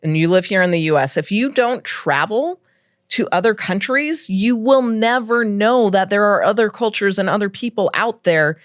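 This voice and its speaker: A woman's voice: mid-range voice, normal speed, no echo, clean studio sound. A woman from Hawaii hosting a podcast, chatting casually, giving short affirmations and laughing readily. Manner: warm listener, brief affirmations, laughs readily. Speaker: podcast host. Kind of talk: casual interview chat.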